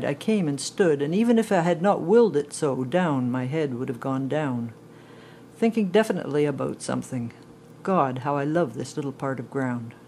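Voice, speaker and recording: A woman reading poetry aloud with deliberate, expressive phrasing. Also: low voice, a little hiss or hum. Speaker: poetry reader.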